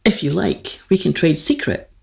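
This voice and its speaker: A woman's voice: deep voice, expressive, moderate pace, gravelly, darkish tone, heavily acted. A woman playing a young, gracious and candid queen in a radio play, with formal, poised phrasing. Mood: confiding, resigned. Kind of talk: theatrical radio play dialogue, courtly.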